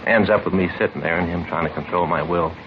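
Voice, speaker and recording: A man's voice: deep voice, steady tone, fast talker, close to an everyday voice. An American man acting as a tired, put-upon police detective, chatty and aggrieved, in a 1950s radio drama. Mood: weary, aggrieved. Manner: weary, chatty, aggrieved storytelling. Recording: muffled, hissy vintage radio recording.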